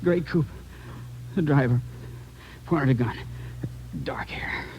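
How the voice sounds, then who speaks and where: An actor playing a gravely wounded policeman in an old radio drama, gasping in pain and speaking in dazed, broken, repetitive fragments. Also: on the high side. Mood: fading, pleading. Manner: broken, halting fragments, repeating himself. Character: dying, gravely wounded male traffic policeman, dazed, pleading. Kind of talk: 1940s-50s radio drama acting. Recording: muffled vintage radio, faint hum.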